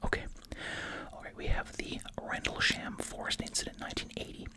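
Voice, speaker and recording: Hushed ASMR whisperer chewing gum. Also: a little fast. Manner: casual, musing, repeats short phrases; chewing gum while talking. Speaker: ASMR video creator. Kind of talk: ASMR whispering, reading case summaries with casual asides.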